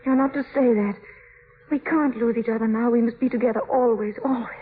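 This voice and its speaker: A woman's voice: on the high side, steady tone, brisk, slight background noise, close to an everyday voice. A woman playing an anxious, devoted young wife in a 1950s radio drama, with an earnest, pleading delivery. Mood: anguished. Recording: narrow-band vintage radio recording with music.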